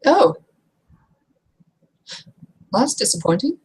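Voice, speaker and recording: A woman's voice: sits high, very animated, takes it slowly, a little mellow, slightly rough, pitched above the performer's own voice, heavily acted. A woman playing a blunt, curious, gossipy fantasy woman in a tabletop game, talking in a breezy, teasing way. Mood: curious, unconvinced.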